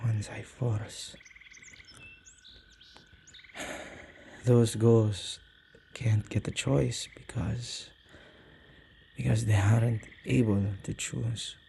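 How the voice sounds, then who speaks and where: A man playing a possessive yet soft-hearted male witch in an intimate ASMR roleplay, speaking gently and haltingly in slightly archaic phrasing. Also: level tone, a little hiss or hum. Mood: somber, reluctant. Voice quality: low, husky, creaky voice.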